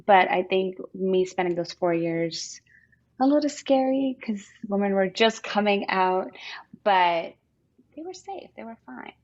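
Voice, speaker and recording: A woman's voice: medium pitch, expressive, unhurried, a little mellow, highs cut off. A woman in her thirties from Afghanistan and Pakistan, giving an informal, explanatory personal account as an interview guest. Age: 30s.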